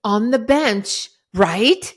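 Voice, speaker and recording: A middle-aged woman, a life coach guest on a podcast, telling her story in a lively, dramatic way. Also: a little high, drawn-out words. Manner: animated, dramatic retelling with acted-out quotes. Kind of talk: casual interview storytelling.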